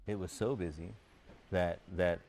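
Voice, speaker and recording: Very deep-voiced American man, conversational. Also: fairly even intonation, measured pace, vocal fry, darkish tone. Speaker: former air traffic controller being interviewed. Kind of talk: unscripted interview answers.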